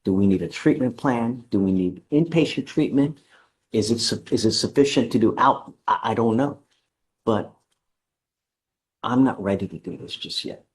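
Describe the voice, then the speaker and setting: A man speaking as a judge at a courtroom hearing, measured and deliberate, stern but patient. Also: mid-range voice, animated.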